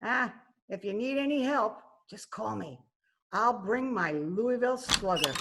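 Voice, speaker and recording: A woman's voice: a little high, lively intonation, measured pace, creaky, fairly bright tone, exaggerated voice. An actress playing a brash, joking, aging cleaning woman in an old-time radio detective drama. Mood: wry. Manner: brassy, joking banter. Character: brash, wisecracking aging scrubwoman, tough and sporty. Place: at home, recorded remotely.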